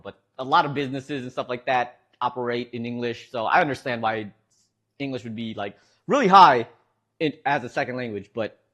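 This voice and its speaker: A man's voice: medium pitch, a bit bright. A man with a Midwestern American accent streaming from home, rambling casually to his chat and laughing often.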